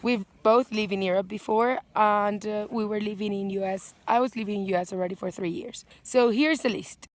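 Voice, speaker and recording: A woman's voice: medium pitch, steady tone, fairly quick, hardly any pauses. Venezuelan-accented woman vlogging casually. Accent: Venezuelan Spanish. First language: Spanish. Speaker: vlogger and channel host. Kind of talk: casual chatty list vlog to camera.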